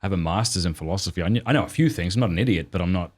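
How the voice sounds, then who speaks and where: A man's voice: low voice, rapid-fire. A man with an Australian accent hosting a podcast, talking candidly and self-deprecatingly in casual conversation. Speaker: podcast host.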